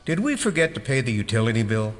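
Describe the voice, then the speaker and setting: An actor playing a sardonic, wisecracking middle-aged American conspiracy hacker in an audio drama. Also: male voice, medium pitch, very animated, quick pace, slight background noise, close to an everyday voice. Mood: suspicious.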